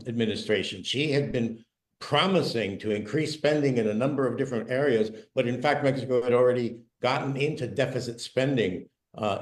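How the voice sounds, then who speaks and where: A man on an online news panel, speaking in a measured, analytical way. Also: mid-range voice. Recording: echoey room.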